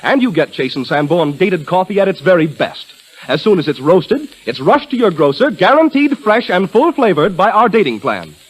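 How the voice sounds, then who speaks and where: High-pitched, animated radio ad man. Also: moderate pace, breathy edge, poor audio. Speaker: radio commercial announcer. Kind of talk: 1940s radio advertising read. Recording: old radio broadcast recording.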